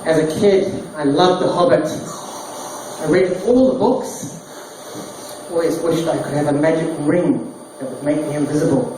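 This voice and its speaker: A man doing stand-up one-liners in a deadpan delivery. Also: on the high side, measured pace. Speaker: stand-up comedian. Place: small bar stage, roomy and echoey. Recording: echoey distant bar recording, noisy. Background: small crowd laughing, bar noise.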